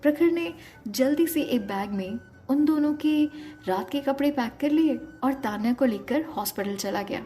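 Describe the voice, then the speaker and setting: Woman, story narrator, higher pitch, dramatic storytelling, soft music behind.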